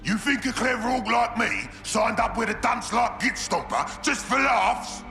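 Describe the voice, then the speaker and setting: A gravelly bellowing ork boss. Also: male voice, very high-pitched, a little slow, echoey room, faint music behind, full character voice. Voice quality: gravelly shouting. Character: cunning loud ork warboss, scheming and brash.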